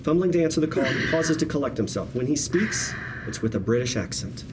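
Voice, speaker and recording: A man's voice: on the high side, lively intonation, a little fast. Wry man narrating a screenplay. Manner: wry, conversational. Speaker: stage-direction reader at a table read. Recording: noisy room ambience.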